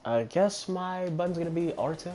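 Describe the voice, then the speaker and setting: A man's voice: on the high side, lively intonation, moderate pace, dark tone, a little hiss or hum. A man giving casual gameplay commentary, muttering offhand remarks to himself and swearing freely. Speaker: gamer commentating own playthrough. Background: video game music and sounds.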